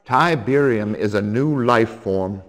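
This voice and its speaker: An actor playing an eccentric, excitable male scientist who lectures in halting, theatrical jargon in a game cutscene. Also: lowish voice, a little hiss or hum. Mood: grave, emphatic. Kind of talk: dramatic game cutscene acting.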